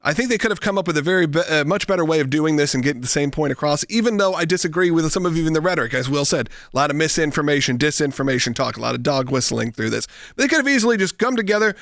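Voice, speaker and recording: A man's voice: fairly high pitch, lively intonation, rapid-fire, hardly any pauses, a bit bright, no room sound, studio quality. A man with an American accent giving conversational political commentary as a talk show host.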